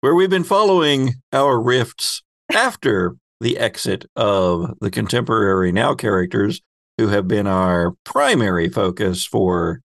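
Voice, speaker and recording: Male game master, podcast host, noticeable vocal fry, casual, jokey, rambling, dry close sound. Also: lowish voice, unhurried, fairly bright tone, no echo. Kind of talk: casual podcast intro chat.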